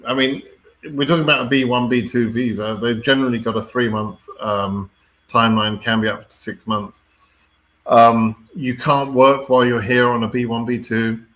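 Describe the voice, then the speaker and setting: A man's voice: medium pitch, steady tone, normal speed, fairly bright tone. A man with a British accent hosting an informal live question-and-answer stream, speaking in a blunt, wry, mildly exasperated way with the odd swear word. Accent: British English. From Britain.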